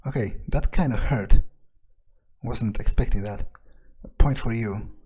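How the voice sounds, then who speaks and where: A man's voice: medium pitch, a little slow, mellow, husky. A man doing casual, foul-mouthed in-character game commentary, muttering his thoughts aloud as he plays. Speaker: gaming streamer, let's player. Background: video game music.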